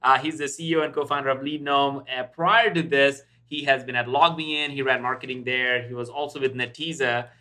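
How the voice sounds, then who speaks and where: A man's voice: mid-range voice, fairly even intonation, fairly quick, hardly any pauses, sharp tone. A man hosting a podcast interview in a casual, informal, chatty way. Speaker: podcast host.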